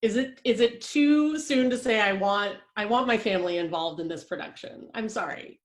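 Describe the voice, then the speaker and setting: A woman's voice: medium pitch, normal speed, lightly acted. A woman playing an anxious, theatrical playwright-director who gushes and rambles dramatically. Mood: flustered. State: panicked shouting near tears.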